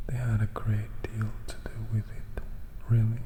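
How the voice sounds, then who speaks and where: A man voicing a composed, melancholy young vampire in a hushed, measured storybook whisper. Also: on the low side, completely flat delivery, a little hiss or hum, lightly acted. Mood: wistful, composed. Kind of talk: whispered ASMR storybook reading.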